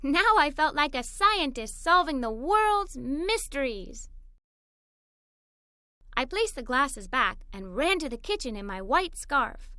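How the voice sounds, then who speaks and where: A woman voicing an excited, adventurous little girl telling a children's read-aloud story in an expressive, enthusiastic way. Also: high-pitched, slow, no room sound, studio quality, heavily acted.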